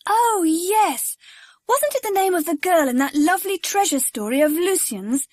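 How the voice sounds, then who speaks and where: Actress as storybook little girl, sweet and earnest. High, very expressive, gushing delivery. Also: normal speed, no room sound. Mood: remembering.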